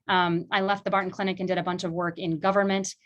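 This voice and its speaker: A woman's voice: on the low side, flat delivery, fast talker, dull audio. A woman from Illinois who directs a law clinic, speaking earnestly and reflectively on a video call, with many fillers. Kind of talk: virtual panel talk.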